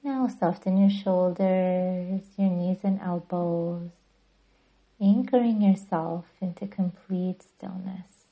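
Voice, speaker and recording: Female meditation guide, breathy with a creaky edge, even and soothing, slightly muffled recording. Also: lowish voice. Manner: soothing, gentle, deliberate. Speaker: yoga nidra guide and podcast host.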